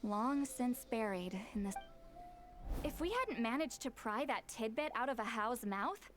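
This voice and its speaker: A woman's voice: fairly high pitch, lively intonation, unhurried. A woman playing a knowledgeable, self-assured researcher in a video game, explaining things in a confident, slightly wry way. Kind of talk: scripted video game dialogue. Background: game music.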